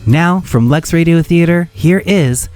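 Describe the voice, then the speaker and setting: A man's voice: on the high side, unhurried, darkish tone, soft background music. A man hosting a radio show, reading scripted introductions with a theatrical flourish. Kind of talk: scripted narration introducing vintage radio dramas.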